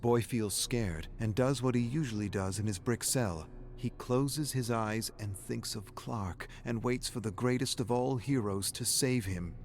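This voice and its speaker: A man narrating a dark horror story in a dramatic, scripted style, with a measured, grave and brooding delivery. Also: mid-range voice.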